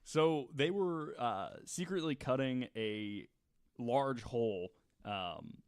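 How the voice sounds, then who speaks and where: A man's voice: medium pitch, expressive, slow pace, clean tone, no echo, studio quality. A man co-hosting a podcast, telling a story in a casual, jokey, conversational way with some laughing as he talks. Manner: jokey, laughs while talking. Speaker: podcast co-host telling a true crime story.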